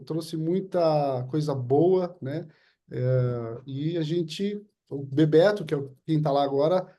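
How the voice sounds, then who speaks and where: Very dark-voiced Brazilian pastor. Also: male voice, fairly high pitch. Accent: Brazilian Portuguese. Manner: halting, with many fillers and restarts. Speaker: pastor hosting a church leaders' meeting. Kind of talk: informal introduction and spoken prayer.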